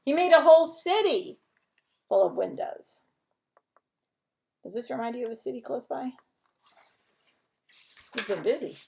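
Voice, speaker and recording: High-voiced woman reading a bedtime story. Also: unhurried, gravelly, pauses often. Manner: expressive, chatty asides to children. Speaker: storyteller reading a children's picture book. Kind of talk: bedtime story read aloud with asides to young listeners.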